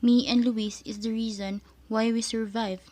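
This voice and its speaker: A woman's voice: a little high, flat delivery, unhurried, dark tone, lightly acted. A woman playing a confident female student in an amateur radio drama. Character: confident female student who sees her future. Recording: faint music behind voice.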